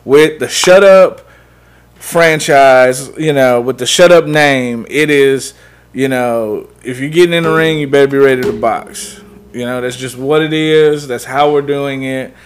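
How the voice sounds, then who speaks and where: A man's voice: mid-range voice, measured pace, clean tone. Laid-back man hosting a podcast. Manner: laid-back, blunt, swears casually. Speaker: podcast host, runs gaming tournaments. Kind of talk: casual chat.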